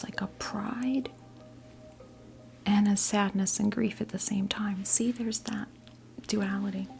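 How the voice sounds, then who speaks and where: An American woman in her fifties giving a tarot reading as ASMR role play, whispering softly in a halting, gently reassuring way. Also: mid-range voice. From New Jersey, US. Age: 50s. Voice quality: whispered, breathy soft voice. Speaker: ASMR performer playing a tarot reader.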